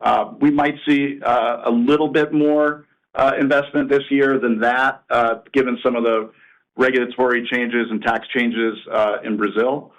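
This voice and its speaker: A man answering an analyst on an earnings call as a company executive, speaking in a halting, measured way. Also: medium pitch, fairly even intonation, poor audio.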